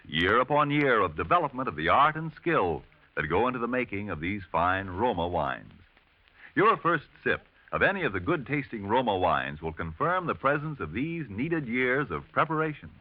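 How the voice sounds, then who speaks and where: A man's voice: on the low side, no room sound. A male announcer reading a 1940s radio advertisement in a polished, emphatic sales style. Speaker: commercial announcer. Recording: dry, tinny 1940s radio recording.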